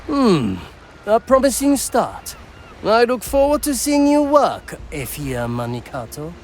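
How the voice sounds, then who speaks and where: An actor playing a courteous, proud assassin who cooks aboard a ship in a video game, formal and measured. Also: male voice, high-pitched, huge pitch swings, darkish tone, a little hiss or hum, full character voice. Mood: composed. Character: courteous, proud Japanese assassin and ship's cook.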